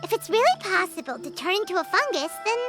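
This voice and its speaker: A squeaky fairy sidekick. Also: female voice, sing-song, fast. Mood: chirpy. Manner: chirpy, squeaky, bouncy. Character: tiny excitable floating fairy companion girl. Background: game music.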